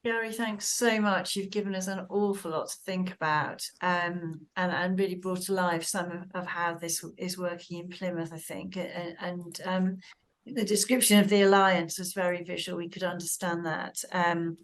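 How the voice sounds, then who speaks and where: A woman hosting an online webinar, introducing speakers with many pauses and restarts. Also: lowish voice, fairly even intonation. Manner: hesitant, many restarts and fillers. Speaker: webinar host.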